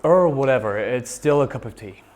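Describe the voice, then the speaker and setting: A man's voice: medium pitch, animated, normal speed, background noise, close to an everyday voice. A man playing a sarcastic, dry-witted rock band friend in a sitcom table read. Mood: dry, teasing.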